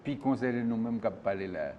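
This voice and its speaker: A man's voice: medium pitch, animated, a little fast, muffled recording, slight background noise. Questioning male talk show host. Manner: questioning, presses the guest. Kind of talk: call-in political talk show.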